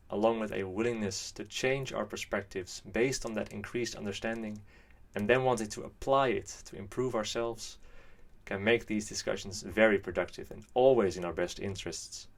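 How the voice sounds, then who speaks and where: Earnest young Dutch man, low dry voice. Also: fairly even intonation, moderate pace, creaky, no echo. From Netherlands. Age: 20s. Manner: earnest, careful, hedging. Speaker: channel host. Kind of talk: scripted monologue.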